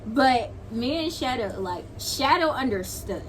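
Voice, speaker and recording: A young adult woman in casual storytime chat, slangy and halting, repeating phrases for emphasis. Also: on the high side, expressive. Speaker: video creator, guest co-storyteller. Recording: clearly noisy home video recording.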